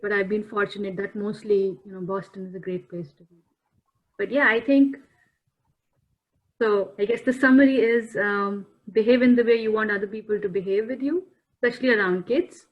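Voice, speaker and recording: A woman's voice: medium pitch, muffled recording. A woman, speaking thoughtfully and earnestly as a guest on a talk show. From India. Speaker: panel guest. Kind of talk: reflective talk-show discussion. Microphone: video call.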